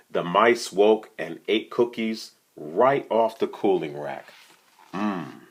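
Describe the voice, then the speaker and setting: Deep, husky, slow-paced storytime reader, male. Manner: conversational, often asks the listener questions. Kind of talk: reading a picture book aloud, with casual asides to young listeners.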